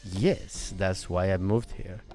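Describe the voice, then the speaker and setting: A man improvising a proud, grim Norwegian in medieval Nottingham, with a mock Norwegian accent and slow, halting deadpan delivery. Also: deep voice, soft background music, pitched below the performer's own voice.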